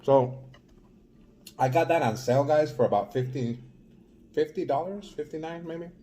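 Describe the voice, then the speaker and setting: A middle-aged Salvadoran man talking casually to his viewers, halting and full of fillers. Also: a little high, warm tone, a little hiss or hum. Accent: Mexican-influenced Spanish. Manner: rambling, halting, many fillers. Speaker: vlogger. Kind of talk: casual vlog talk.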